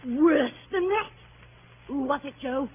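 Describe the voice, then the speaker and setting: A woman's voice: high-pitched, lively intonation, slow. An actress playing a fussy, easily shocked deaf old lady, querulous and exclaiming, in 1930s radio comedy. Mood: shocked, indignant. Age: older. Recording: tinny, narrow-band 1930s radio recording.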